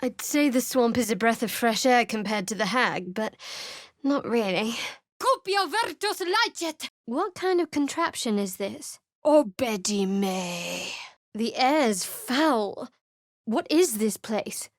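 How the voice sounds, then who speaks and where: A woman voicing a guarded, sardonic young cleric of a dark goddess in a fantasy game, speaking in a dry and wry way. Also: on the high side, animated, takes it slowly, no room sound. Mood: incanting. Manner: dry, wry and cool, with sly sarcasm. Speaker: party companion in a fantasy video game. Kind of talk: recorded game voice lines. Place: studio booth. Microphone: close studio mic.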